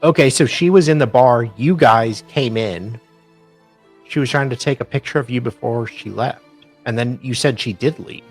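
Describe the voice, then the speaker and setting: A man's voice: mid-range voice, normal speed, faint music behind. A man co-hosting a dramatic reading and commentary, with a theatrical, mocking way of talking. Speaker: co-host.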